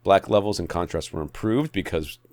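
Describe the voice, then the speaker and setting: A man's voice: low voice, brisk, a little mellow, no room sound. A man co-hosting a podcast, chatting casually and wryly about home theater gear. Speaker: podcast co-host.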